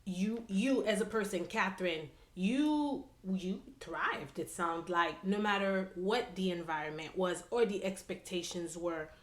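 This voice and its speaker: A woman with a mid-range voice hosts a casual interview. She talks in an affirming, conversational way. Her voice carries clear room echo. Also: mid-range voice, a little slow, few pauses. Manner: affirming, frequent agreement. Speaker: podcast host, interviewer. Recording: roomy, echoing sound.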